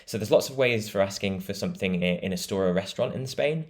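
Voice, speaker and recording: A man's voice: fairly low pitch, fast. A British man being interviewed as a travel writer, talking in an enthusiastic, explanatory way and laughing easily. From Britain. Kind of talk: conversational interview.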